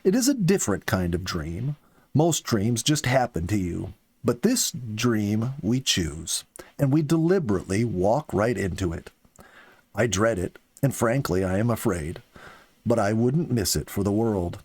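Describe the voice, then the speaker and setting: A man reading the part of an earnest, philosophical young soldier in an audiobook, with slow, deliberate phrasing. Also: on the low side, sing-song, darkish tone, breathy edge, heavily acted. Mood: solemn, resolute. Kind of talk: audiobook character dialogue.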